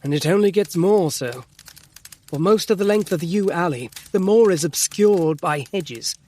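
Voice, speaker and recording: An earnest Victorian gentleman doctor with a fairly high voice. He is excitable and formal, leaning hard on his words like a dramatic storyteller in an audio drama. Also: normal speed. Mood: troubled, insistent. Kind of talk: full-cast audio drama acting.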